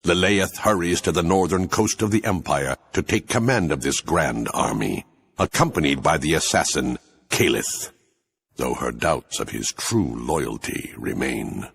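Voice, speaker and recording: An actor playing a grim, ominous storyteller, narrating a dark fantasy war game in a rough, gravelly voice. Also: male voice, extremely low pitch, animated, measured pace, heavily acted. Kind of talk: dramatic scripted game narration.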